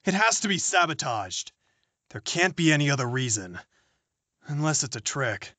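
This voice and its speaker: A man's voice: a little high, big pitch swings, normal speed, bright, forceful tone, no room sound, heavily acted. An actor playing a jittery, self-serving male politician on the run, muttering to himself in an audio drama. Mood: suspicious.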